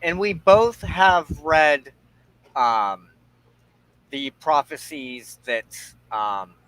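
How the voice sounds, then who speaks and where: A man hosting a reflective spiritual discussion, talking in halting, deliberate short phrases. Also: a little high, big pitch swings, fairly bright tone, no echo, rough recording. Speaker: host of a spiritual discussion show.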